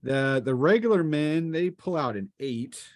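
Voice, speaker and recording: A man running a tabletop game as game master, improvising narration in a halting, piece-by-piece way. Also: fairly high pitch, animated, no echo. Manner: halting, piecing descriptions together aloud.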